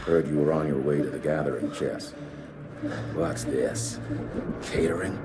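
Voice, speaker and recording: An actor playing a gruff, sardonic, retired older monster hunter in a video game cutscene, grumbling and swearing. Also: male voice, rumbling low, steady tone, unhurried, loud music behind the voice. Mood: dry sarcasm. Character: gruff, foul-mouthed old retired male monster hunter.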